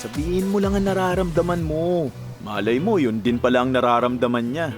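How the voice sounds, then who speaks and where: A man's voice: fairly high pitch, brisk, faint music behind, lightly acted. A Filipino man playing a teasing, encouraging young drinking buddy in a radio drama. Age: young adult.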